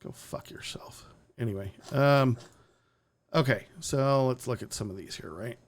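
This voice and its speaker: A man's voice: mid-range voice, measured pace. Sarcastic blunt man hosting a stream. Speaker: podcast host. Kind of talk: casual opinionated chat.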